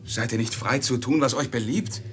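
A man's voice: mid-range voice, normal speed, a little hiss or hum, natural voice. An actor in a German radio drama playing a loyal, worried manservant, earnest and deferential toward his troubled master. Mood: urgent, pleading.

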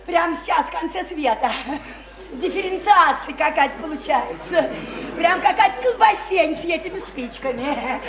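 An actress playing a brash, boozy, chattering widowed house painter, flirty and slangy, in a radio play. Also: female voice, extremely high pitch, expressive, normal speed, echoey room, a crowd in the background. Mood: flirty, playful. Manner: flirty, teasing, folksy and slangy. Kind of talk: theatrical radio play acting.

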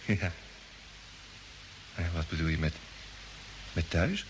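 A man playing an excitable, bewildered young space traveller in an old radio drama, stammering and indignant. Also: low-pitched, slow, full character voice. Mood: dazed. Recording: echoey, noisy old radio play recording.

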